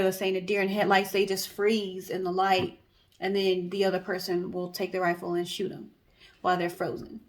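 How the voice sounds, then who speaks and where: A woman co-hosting a storytelling show, talking in a casual, conversational way with chatty explanatory asides. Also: medium pitch. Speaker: co-host telling stories in a guessing-game show.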